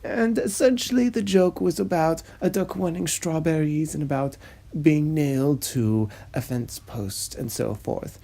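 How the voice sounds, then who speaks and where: Slow, creaky-voiced, pompous woman narrator. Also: sits low, warm tone. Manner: pompous, snobby, theatrical. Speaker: narrator and host. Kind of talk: dramatic scripted narration of a screenplay.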